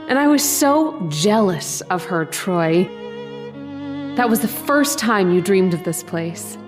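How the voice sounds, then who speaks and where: An actress playing an adoring, possessive young dream sweetheart in an old-time radio drama, speaking in an intimate, coaxing, eerily gentle way. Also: female voice, medium pitch, expressive, slow, over music. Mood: adoring, wistful. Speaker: actress in a horror radio play.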